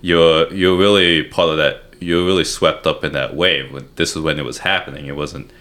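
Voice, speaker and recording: Deep-voiced rambling male host. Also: steady tone, moderate pace, a bit bright. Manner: rambling, hesitant, many fillers. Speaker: podcast host. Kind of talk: casual interview hosting.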